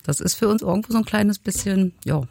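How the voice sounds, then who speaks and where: A woman's voice: mid-range voice, fast talker, gravelly, warm tone, no room sound, clean studio sound. A woman from Saxony-Anhalt chatting casually as a radio guest, giving short, laconic answers. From Germany, Saxony-Anhalt. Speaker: guest co-host, radio listener. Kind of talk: casual interview chat. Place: radio studio. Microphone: close studio microphone.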